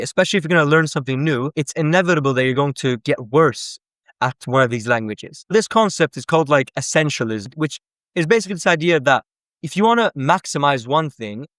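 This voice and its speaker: A man's voice: a little high, a bit bright, no echo, studio quality. A man from Sweden, a native Azerbaijani speaker, explaining things in casual English with plenty of "like" and "basically.". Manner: conversational, frequent "like" and "basically". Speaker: language-learning content creator. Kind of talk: casual explanatory talk to camera.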